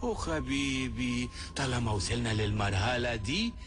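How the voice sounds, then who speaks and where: An actor playing a scheming foreign villain who speaks with a put-on foreign accent and coaxes his captive in a slow, wheedling way. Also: mid-range voice, animated, natural voice. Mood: coaxing. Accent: put-on foreign accent in Egyptian Arabic. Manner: coaxing, drawn-out, wheedling. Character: scheming foreign male villain, smooth-talking captor. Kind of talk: radio comedy serial acting. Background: music.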